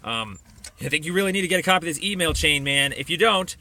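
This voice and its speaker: A man speaks in a tense, bright voice with a noticeable creak. He lectures insistently, as if filing a formal complaint by voicemail. His delivery is emphatic, yet it stumbles and restarts as he rambles from point to point. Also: on the high side, animated, quick pace, dry recording.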